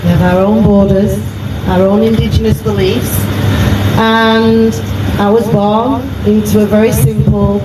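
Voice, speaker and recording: A woman's voice: mid-range voice, level tone, unhurried. A woman in her fifties, Welsh by first language, with a Manchester English accent, chatting and explaining at length as an interview guest. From Wales. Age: 50s. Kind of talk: casual interview chat. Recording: roomy, distant, loud background noise.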